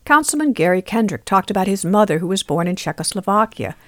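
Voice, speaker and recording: A woman's voice: mid-range voice, sing-song, fast talker, a little hiss or hum. A woman reporter reading scripted news narration.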